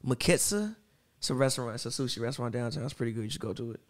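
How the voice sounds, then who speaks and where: A thirty-year-old man co-hosting a podcast, talking in quick, emphatic, slangy banter. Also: mid-range voice, animated. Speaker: podcast co-host. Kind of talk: casual banter.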